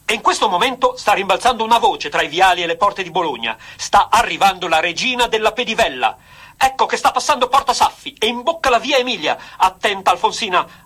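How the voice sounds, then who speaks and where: An actor playing an excitable 1920s radio cycling commentator in a florid, emphatic, declamatory style. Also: male voice, sits high, breathy edge, full character voice. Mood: excited, urgent. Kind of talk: vintage sports radio commentary acting.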